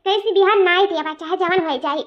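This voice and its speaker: Woman acting as a squeaky-high lovestruck schoolgirl type, eastern Uttar Pradesh accent, pleading, emphatic, cartoon comedy, muffled sound. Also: a little fast. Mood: worried. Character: lovestruck, pleading young female student. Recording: muffled, dull audio.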